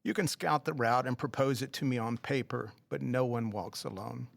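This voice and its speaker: An actor playing a reluctant, fussy, rule-minded tour company boss in an audio drama, with a skeptical, formal manner. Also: male voice, medium pitch, normal speed, vocal fry, no echo, clean studio sound, close to an everyday voice. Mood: grudging, firm.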